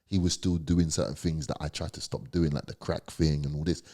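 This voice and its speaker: A man with a London accent and a deep voice, telling a personal story as a podcast guest in slangy, emphatic conversation. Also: fairly even intonation, fairly quick, mellow. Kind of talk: candid storytelling.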